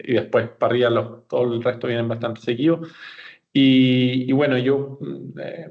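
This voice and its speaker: Even-toned Chilean man in his forties. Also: medium pitch, highs cut off. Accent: Chilean Spanish. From Santiago, Chile. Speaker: podcast guest, company executive. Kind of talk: conversational interview answers, personal recollection.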